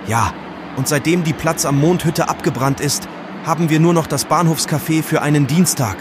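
A man's voice: on the high side, normal speed, lightly acted. A man playing a plain-spoken, helpful village local in a comic audio drama. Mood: matter-of-fact. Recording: clear outdoor traffic noise.

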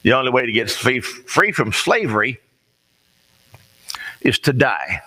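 American man, preacher, bright forceful voice, folksy plain-spoken delivery, deliberate pauses, long hall reverb. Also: medium pitch, big pitch swings. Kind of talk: sermon with conversational storytelling. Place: large reverberant room, preaching to a congregation.

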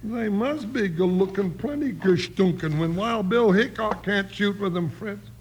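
An actor playing a loyal, folksy, slow-witted cowboy sidekick in an old radio western, with an American accent and a gravelly voice. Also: male voice, sits high, low-quality recording. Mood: baffled. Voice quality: gravelly, creaky. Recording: hissy old radio broadcast.

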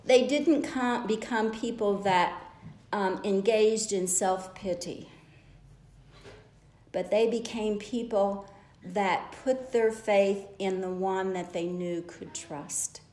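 A woman's voice: mid-range voice, steady tone, slow pace, darkish tone. A woman delivering a church message to a women's gathering, earnest and exhorting, building her points with repeated phrases. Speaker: lay preacher. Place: church sanctuary. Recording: echoey church room.

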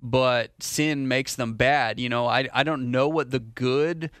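A man's voice: mid-range voice, steady tone, takes it slowly, a bit bright, smooth, no room sound, clean studio sound. A man, a pastor, hosting a casual faith discussion podcast in an upbeat, chatty way, laughing easily as he talks.

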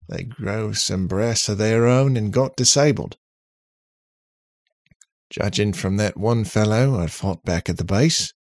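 A man voicing a cheeky, cocky, talkative robot in an animated, boastful, slangy way for an audiobook. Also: fairly low pitch, no echo, clean studio sound, pitched above the performer's own voice. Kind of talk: audiobook character voice.

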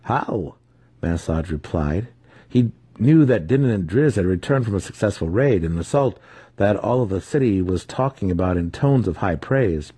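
A man narrates a fantasy audiobook in a dramatic storytelling style. His voice is deep and dark, with a husky edge. The reading is scripted and theatrical. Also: lively intonation, moderate pace, no echo.

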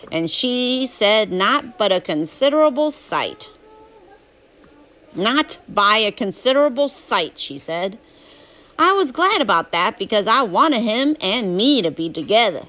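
A woman plays a restless, plainspoken country boy telling his own story from a storybook. The accent is Southern US, folksy and drawling, and the pitch swings freely from phrase to phrase. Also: a bit bright. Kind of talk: first-person storybook narration.

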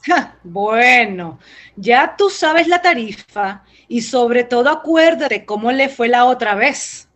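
A woman's voice: on the high side, animated, slow pace, fairly bright tone. A woman playing a sly, mercenary accomplice in a radio soap opera. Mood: sly, menacing.

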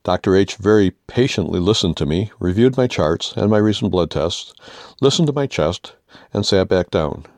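Older American male essayist, low voice, humorous scripted read, dry close sound. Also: lively intonation, moderate pace, a little mellow, no echo. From United States. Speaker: author reading own humorous essay. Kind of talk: scripted humorous first-person narration.

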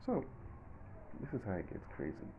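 A man's voice: medium pitch, wildly swooping pitch, moderate pace, lo-fi sound, background noise. A man in his forties with a Nigerian accent, giving his own emphatic, indignant opinion in a monologue. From southern Nigeria. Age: 40s. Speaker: commentator.

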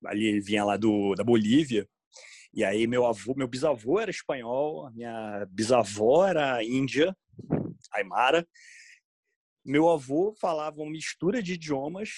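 A man, chatting casually as a podcast co-host, quick to agree and jump in. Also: mid-range voice, darkish tone, breathy edge, no room sound. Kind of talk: casual chat.

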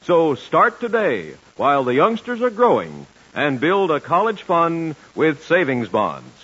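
A man's voice: fairly high pitch, wildly swooping pitch, unhurried, fairly bright tone, husky. An American man announcing in scripted 1940s radio style, with a polished, salesmanlike delivery. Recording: muffled 1940s radio broadcast with music.

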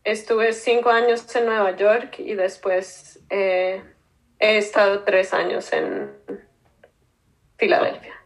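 A Colombian woman from Bogotá, around her thirties, speaking slowly and thoughtfully as an interview guest. Her voice sits at a middle pitch, and she pauses often with soft fillers while she gathers her thoughts. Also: steady tone, poor audio. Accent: Colombian Spanish, Bogotá. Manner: thoughtful, hesitant with many fillers. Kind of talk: casual interview answers.

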